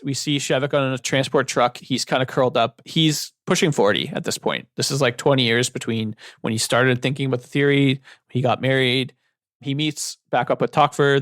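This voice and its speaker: A man's voice: medium pitch, no echo. Young guy rambling on a book podcast, lots of likes, dry mic. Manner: relaxed, rambling with many fillers. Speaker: book club podcast co-host. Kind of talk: casual book discussion.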